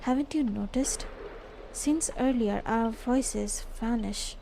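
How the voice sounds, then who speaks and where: Slow, high-voiced woman, mellow tone. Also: vocal fry, a little hiss or hum. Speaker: game streamer reading story dialogue aloud. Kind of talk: live stream read-aloud of game script. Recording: faint game music behind. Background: faint music.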